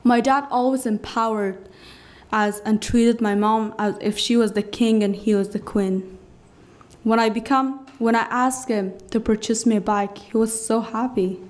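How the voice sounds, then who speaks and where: A woman's voice: mid-range voice, a little mellow. Young Afghan woman, English, earnest podium storyteller, phrase-by-phrase delivery. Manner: earnest, emphatic, pausing between phrases. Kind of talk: personal story told on stage. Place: cultural centre stage. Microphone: podium mic on stand.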